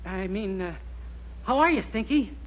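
A man's voice: high-pitched, expressive, normal speed. A man playing a naive, eager young store clerk with earnest, innocent comic timing in a 1940s radio sitcom. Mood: breezy, confident. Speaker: comic actor. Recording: muffled, very noisy 1940s radio recording.

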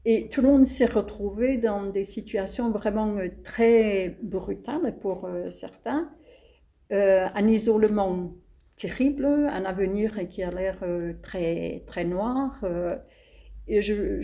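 A playwright speaks with a non-native French accent, slowly and deliberately. Her voice is warm and mellow, and she often pauses to find the next word. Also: mid-range voice. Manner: hesitant, thoughtful, many pauses. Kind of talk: interview answers.

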